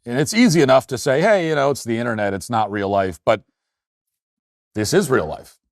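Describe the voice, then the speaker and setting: A man's voice: medium pitch, animated, brisk, no echo, studio quality. An American man hosting an opinion show, delivering an argumentative commentary monologue with emphatic points and rhetorical questions. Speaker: political commentary show host. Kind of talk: opinion commentary monologue, reading posts aloud.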